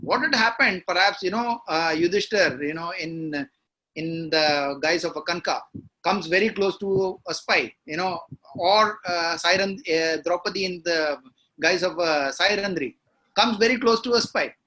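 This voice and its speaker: A man's voice: high voice, measured pace, pushed and bright, poor audio. Indian man telling stories reflectively. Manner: reflective storytelling, slipping into Hindi. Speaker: guest novelist. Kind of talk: conversational interview.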